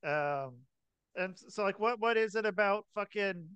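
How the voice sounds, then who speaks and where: A man hosting a casual podcast chat, asking informal, halting questions with some swearing. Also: high-pitched, fairly bright tone, clear, resonant tone, dry recording. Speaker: podcast host.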